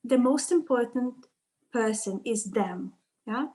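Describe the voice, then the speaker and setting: High-voiced woman speaking on a panel. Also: slow, dark tone. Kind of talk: panel discussion, explaining.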